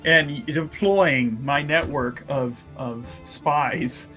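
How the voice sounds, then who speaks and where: A man playing a tabletop game, narrating his character's actions in halting, mock-formal wording. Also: on the high side, music in the background.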